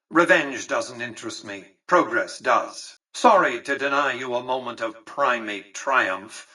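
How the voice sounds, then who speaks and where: An imperious old tycoon ruling a casino city in a video game. He sounds set back from the mic, with a bright, tense tone and a rough grain. His delivery is pompous and precise, measuring each phrase with cool condescension. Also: mid-range voice, far from the mic, poor audio. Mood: cool, condescending. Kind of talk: scripted video game character dialogue.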